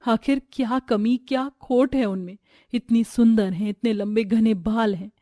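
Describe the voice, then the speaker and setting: A woman's voice: a little high, steady tone, a little slow, mellow, no room sound, clean studio sound, natural voice. A woman voicing a poor, devoted and humble sister-in-law in an earnest, pleading way for a Hindi family story. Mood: pleading, worried.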